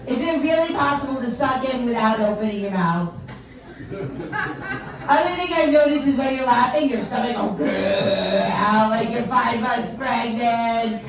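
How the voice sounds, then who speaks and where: A woman's voice: fairly high pitch, a little slow. Woman stand-up comic, old-sounding voice, self-mocking crowd work, distant mic, audience noise. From US tri-state area. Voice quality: voice that sounds older than she is. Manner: chatty, teasing, self-mocking, keeps addressing the crowd as "guys". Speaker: stand-up comedian. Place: comedy club or venue. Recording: echoey club, mic from afar, audience noise. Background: audience laughing and chatting.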